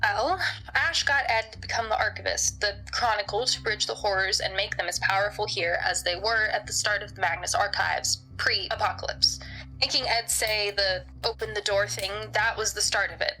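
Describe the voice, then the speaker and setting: A woman playing a blunt, analytical young woman in an audio drama, speaking deliberately. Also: medium pitch, steady tone, bright, forceful tone, faint music behind, rough recording. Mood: serious explaining.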